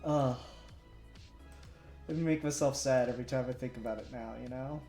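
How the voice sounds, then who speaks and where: A man's voice: mid-range voice, moderate pace, mellow. A man chatting casually as he hosts a live stream while working. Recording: roomy echo, music underneath. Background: music.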